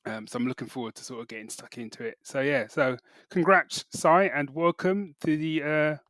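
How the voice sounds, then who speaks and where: A man's voice: on the high side, steady tone, normal speed, a bit bright, no echo. A man co-hosting a podcast in casual, bantering conversation. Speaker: podcast co-host.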